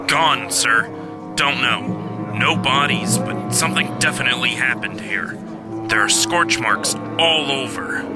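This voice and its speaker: An actor in an audio drama playing a sceptical, hard-nosed US army colonel with a terse, interrogating manner. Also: male voice, mid-range voice, measured pace, loud music behind the voice, lightly acted. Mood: uneasy.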